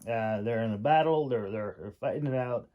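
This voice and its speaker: A man giving a casual, unscripted critique as a host, halting and thinking aloud with long pauses. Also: medium pitch, dull audio. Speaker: host critiquing a writer's manuscript.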